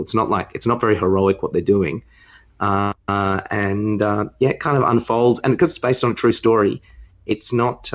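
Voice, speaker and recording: A man with a fairly low voice chats as a podcast co-host. He rambles through his opinions in an informal, opinionated way. The recording is a little dull and muffled. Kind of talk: casual film review chat.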